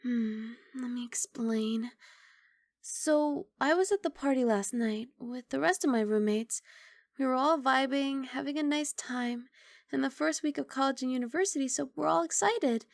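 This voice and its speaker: A woman playing a young, sweet, apologetic giant dragon girl in an intimate ASMR roleplay, soft and coaxing with hesitant rambling. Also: sits high, normal speed, creaky, no echo. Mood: friendly explaining. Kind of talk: ASMR roleplay, intimate one-sided dialogue.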